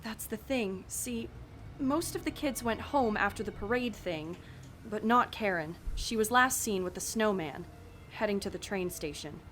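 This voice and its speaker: An actress in an audio drama playing an uneasy, incredulous school staffer who breaks bad news hesitantly and earnestly. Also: female voice, medium pitch, lively intonation, measured pace, fairly bright tone, slight background noise.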